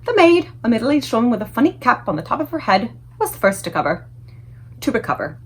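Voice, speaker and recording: A woman reading a children's book aloud as an expressive storyteller. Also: fairly high pitch, fast, husky.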